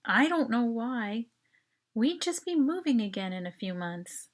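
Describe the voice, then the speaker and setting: A woman reading a first-person short story as its author, in an expressive, dramatic narration style. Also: a little high, measured pace.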